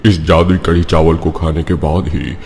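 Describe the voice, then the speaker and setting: A man's voice: low voice, level tone, normal speed, gravelly, a little mellow, a little hiss or hum, voice pushed down. A man voicing a magical talking pot of food as a solemn, commanding oracle in a cartoon story. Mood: solemn, instructive.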